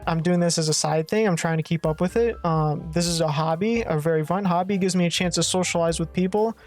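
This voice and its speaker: A young adult YouTuber with a slightly raspy voice, rambling through a casual, profane rant and often correcting themselves mid-sentence. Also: medium pitch, a little fast, darkish tone, faint music behind. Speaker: faceless gaming YouTuber.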